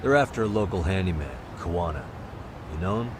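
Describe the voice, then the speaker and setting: Deep-voiced wry detective. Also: male voice, lively intonation, fairly quick, background noise. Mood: earnest. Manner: dry, sardonic, conversational. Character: wry, level-headed male detective. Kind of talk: English-dub video game cutscene acting. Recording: music behind the voice.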